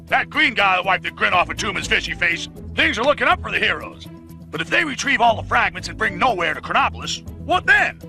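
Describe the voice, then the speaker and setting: A man's voice: high voice, creaky, sharp tone, full character voice. An actor playing a brash, sarcastic male announcer narrating a video game level.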